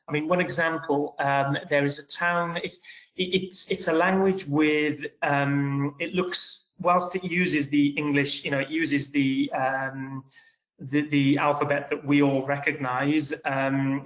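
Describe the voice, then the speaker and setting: Even-toned middle-aged man. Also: a little high, rough recording. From Cardiff, Wales. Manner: hesitant, explanatory, reassuring. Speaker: university representative presenting to international students. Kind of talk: informal webinar question-and-answer.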